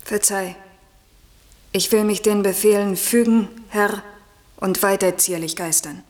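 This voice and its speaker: A woman's voice: medium pitch, steady tone, unhurried, roomy sound, natural voice. A woman playing an airy spirit servant in a German classical radio play, eager and proud, declaiming verse theatrically and longing for freedom. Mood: meek, submissive.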